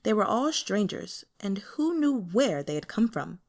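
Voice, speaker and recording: A woman's voice: mid-range voice, very animated, a little slow. A woman reading fiction aloud as an audiobook narrator in a scripted storytelling style.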